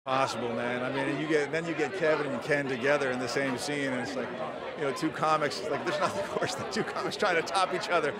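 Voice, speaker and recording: A middle-aged actor speaking reflectively in an outdoor red carpet interview into a handheld mic. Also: male voice, medium pitch, quick pace. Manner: thoughtful, reflective, rambling. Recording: loud crowd noise behind.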